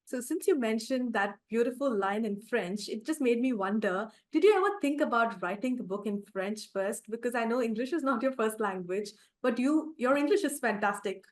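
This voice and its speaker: A woman's voice: a little high, lively intonation, moderate pace, few pauses. A woman hosting a podcast interview in a conversational, encouraging and affirming way. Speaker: podcast host.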